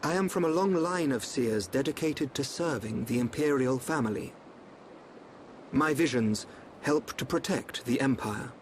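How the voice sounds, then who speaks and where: A man voicing a calm, enigmatic young seer of a royal court, speaking in a measured, formal, cryptic way in a video game. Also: medium pitch, slight background noise, natural voice. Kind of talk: scripted video game cutscene acting.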